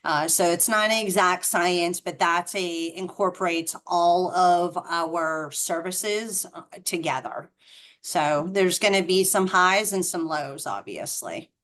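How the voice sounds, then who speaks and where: A middle-aged woman with a Boston accent talks through how a franchise works, leaning toward a low pitch. She is chatty and explanatory, full of ums and self-corrections, and the sound comes through a video call. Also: slow, a bit bright. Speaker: franchise representative.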